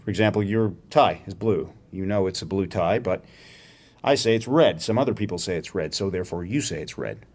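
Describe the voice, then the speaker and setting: An actor playing a wry, probing academic research professor in a radio drama, with a dryly sardonic, precise way of talking. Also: male voice, low voice, a little fast. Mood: serious, exacting. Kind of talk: radio theatre acting, dramatic dialogue.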